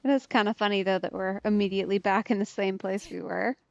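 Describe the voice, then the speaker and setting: A woman's voice: mid-range voice, quick pace, creaky, no room sound, dull audio. A woman giving casual let's play commentary on a video game, with wry, conversational asides. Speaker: streamer playing a video game.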